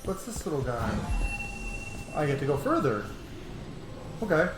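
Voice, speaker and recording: A man giving casual, excitable, sweary commentary as he plays a role-playing video game. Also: fairly high pitch, unhurried, over music. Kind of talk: casual let's play commentary. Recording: roomy echo, clearly noisy background.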